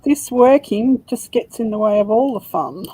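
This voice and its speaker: A woman's voice: fairly high pitch, moderate pace, dark tone, breathy edge, low-quality recording. A woman from Australia with an Australian accent, chatting casually while crafting and joking dryly. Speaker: crafter in a live craft chat. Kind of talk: casual chat.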